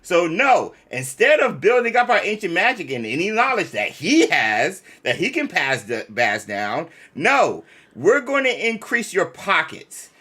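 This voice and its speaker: A man's voice: high voice, huge pitch swings, measured pace, hardly any pauses, pushed and bright. A man co-hosting a livestream in casual, slangy chat with a playfully indignant manner. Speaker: streamer co-host.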